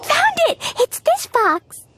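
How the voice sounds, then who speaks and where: Very high-pitched, excitable little girl. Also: takes it slowly, faint music behind, full character voice. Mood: excited. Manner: childlike, simple, eager speech. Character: cheerful naive little girl, excitable and rash. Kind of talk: English video game voice acting.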